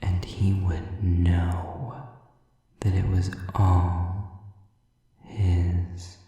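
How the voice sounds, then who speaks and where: A very dark, velvety voice of a cruel demon, acted out by a man, drips out at a crawl. He whispers with cold, possessive menace, savoring each taunt. It is an intimate roleplay, yet the sound is set back from the mic. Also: low-pitched, flat delivery, heavy reverb, distant mic. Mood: taunting threat. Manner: slow, deliberate, breathy whispered menace, taunting. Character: sadistic male demon, cold, menacing, mockingly possessive predator. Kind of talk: intimate audio roleplay acting.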